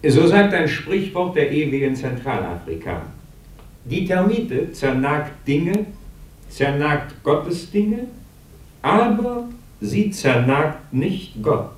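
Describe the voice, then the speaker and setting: A solemn professor lecturing on the radio, slow and measured with swooping intonation. The voice is rather high for a man and echoes from a distance. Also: big pitch swings.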